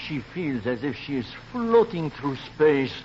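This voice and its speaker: Amorous suave lover, melodramatic, gushing, radio comedy, dull hissy recording. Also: male voice, on the high side, sing-song, unhurried. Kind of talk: 1940s radio comedy acting. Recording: muffled old radio recording, faint hiss.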